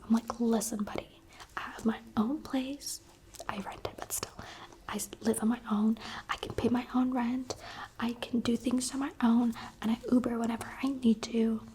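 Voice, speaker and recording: Young woman ASMR creator from the UAE, soft unvoiced whisper, chatty and candid, faint hiss behind. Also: on the high side.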